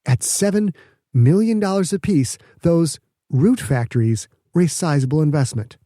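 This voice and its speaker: A calculating woman governor from an audiobook, voiced by a man. The delivery is smug, pointed and measured, with a mellow, fairly high voice. The sound is dry, without room. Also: expressive, no room sound, natural voice. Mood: scolding.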